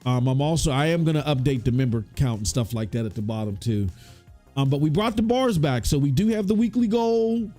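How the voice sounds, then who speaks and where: A man's voice: on the high side, animated, brisk, warm tone, soft background music. A man hosting a casual gaming podcast, chatty and loose, his voice stuffy from a cold. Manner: loose and chatty, many restarts and fillers. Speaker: podcast host. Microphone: hot studio microphone.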